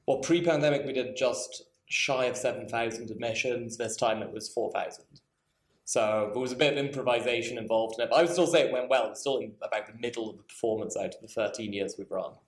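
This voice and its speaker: Man, panel speaker, wry and self-deprecating, informal, mid-pitched, echoey room. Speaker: film festival director, panellist. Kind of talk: panel discussion, informal spoken account.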